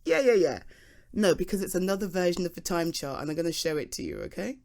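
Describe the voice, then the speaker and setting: A British woman playing a good-humoured, nerdy geologist in a conversational ASMR roleplay, chatty and halting as she explains things earnestly. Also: on the low side, expressive, a little mellow. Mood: playful, animated. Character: good-humoured, nerdy young female geologist, flustered but enthusiastic. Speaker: audio roleplay performer. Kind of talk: ASMR roleplay, conversational one-sided dialogue.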